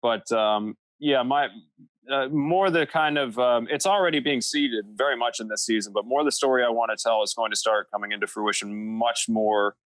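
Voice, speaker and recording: A man's voice: medium pitch, moderate pace, a bit bright, no echo, low-quality recording. A man speaking as a podcast guest in a casual panel discussion, explaining creative work in a rambling, self-interrupting way.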